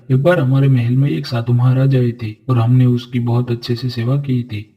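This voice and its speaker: A man acting a noble, earnest figure of a fairy-tale royal court, speaking formally and solemnly in an animated story. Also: mid-range voice, breathy edge, highs cut off.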